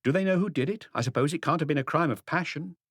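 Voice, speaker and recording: A man's voice: on the high side, quick pace, no room sound, studio quality, pitched above the performer's own voice. A man voicing a cheerful, curious old man in an audiobook, good-humoured and fond of gossip. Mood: gleeful curiosity. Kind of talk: audiobook character dialogue.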